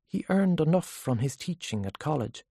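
Husky, expressive audiobook narrator. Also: medium pitch, normal speed, no room sound, studio quality. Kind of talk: scripted story narration.

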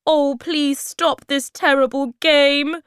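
A woman's voice: on the high side, lively intonation, a little slow, no echo, studio quality, pitched above the performer's own voice. A woman voicing a young male moomin creature in a storybook, playful and sometimes plaintive. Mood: upset, pleading. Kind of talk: storybook character voice.

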